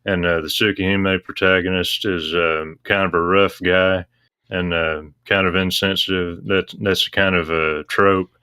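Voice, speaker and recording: Low-voiced man, flat and matter-of-fact. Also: a little slow, clean tone, no echo, clean studio sound. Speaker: interview guest, visual novel translator. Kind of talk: casual explanatory discussion.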